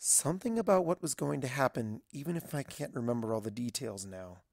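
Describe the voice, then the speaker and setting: A woman's voice: very deep voice, expressive, brisk, vocal fry, a little mellow, dry recording, clean studio sound, full character voice. A woman voicing an anxious, bookish young female cartoon pony princess who tells her story in a wry, fretful, dramatic way. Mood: wry, uneasy. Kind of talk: fan fiction audio reading in character.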